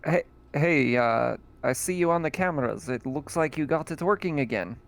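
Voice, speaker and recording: A man voicing a brisk mission contact on comms. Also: fairly high pitch, animated, gravelly, pitched above the performer's own voice. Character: gruff male mission contact over comms.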